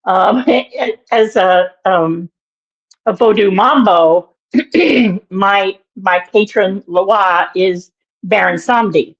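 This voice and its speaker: A woman in her sixties with a naturally raspy voice and an allergy-irritated throat she keeps clearing, telling a story in an interview in a halting, meandering way. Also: mid-range voice, dull audio. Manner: meandering, halting, with many fillers and restarts. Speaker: guest, minister and author.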